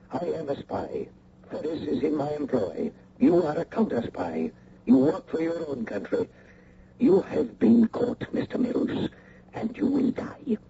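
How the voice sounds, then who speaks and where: An actor playing a menacing foreign spy in a radio mystery, with formal, deliberate, mockingly courteous speech. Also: male voice, fairly high pitch, gravelly, heavily acted. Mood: mocking menace. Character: cold, menacing male foreign spy with a gun. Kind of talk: old-time radio mystery acting. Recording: noisy, muffled old radio recording.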